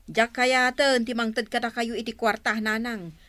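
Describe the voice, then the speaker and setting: An actress playing an exasperated but dutiful grown daughter and concerned sister in a radio drama. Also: female voice, on the high side, level tone, unhurried, fairly bright tone, dry recording, studio quality. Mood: exasperated, scolding.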